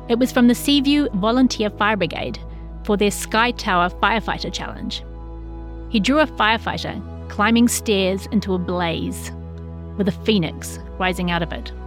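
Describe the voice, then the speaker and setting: A woman with a New Zealand accent reads a written news feature as a journalist, in scripted narration. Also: mid-range voice.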